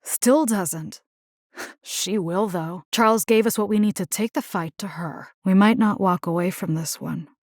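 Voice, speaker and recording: A woman's voice: mid-range voice, unhurried, dry recording, studio quality. An actress voicing a resolute, caring, plain-spoken young woman in a video game, earnest and conversational with a wry edge. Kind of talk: acted video game character dialogue.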